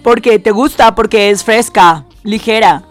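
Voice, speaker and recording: Woman, radio host, bubbly, playful emphasis, higher voice, faint music behind. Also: measured pace. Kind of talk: radio segment hosting. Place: radio studio.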